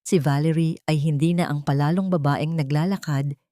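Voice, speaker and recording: A woman narrating a dramatic scripted story in a solemn, deliberate manner. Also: sits low, fairly even intonation, warm tone, no room sound, clean studio sound. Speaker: narrator of audio drama love stories.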